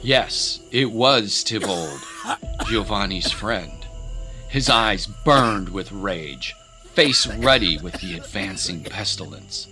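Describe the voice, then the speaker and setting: Male narrator for dramatic fiction; lowish pitch, crisp forceful edge, scripted storytelling. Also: expressive, slow pace, faint music behind, low-quality recording.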